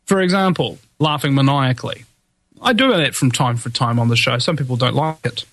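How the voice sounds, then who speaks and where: A man's voice: mid-range voice, brisk, a bit bright, no room sound. A man hosting his own show, ranting defiantly in a solo monologue full of fillers and restarts, with a somewhat whiny voice. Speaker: podcast host.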